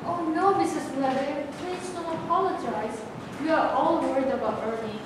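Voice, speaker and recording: A woman's voice: high-pitched, moderate pace, natural voice. A young woman playing a polite, sweet sweetheart in a staged reading of a play. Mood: tender. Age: young adult. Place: large bare indoor room. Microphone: far camera. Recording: echoey hall, distant camera microphone, noisy.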